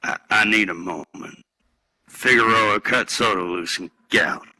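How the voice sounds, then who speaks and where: Slow, forceful low-voiced man acting a bitter firefighter. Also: dry recording, low-quality recording. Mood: shaken. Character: gruff embittered male ex-firefighter, haunted, angry at machines. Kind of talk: dramatic live script reading.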